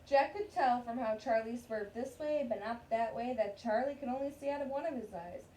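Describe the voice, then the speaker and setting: A woman with a fairly high voice leads a children's story time like a classroom teacher. She reads aloud and talks to young pupils, checking in with short questions. The microphone sits away from her, and there is noticeable background noise. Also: moderate pace, dark tone. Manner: addresses young pupils, frequent checking questions like "okay?" and "right?". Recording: distant mic, clear background room noise.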